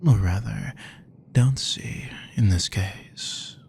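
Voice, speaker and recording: A man playing a soft-spoken predatory vampire. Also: lowish voice, vocal fry. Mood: playful. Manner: slow, soft-spoken, teasing, drops to whispers. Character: courteous predatory male vampire lab technician. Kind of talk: audio roleplay to the listener.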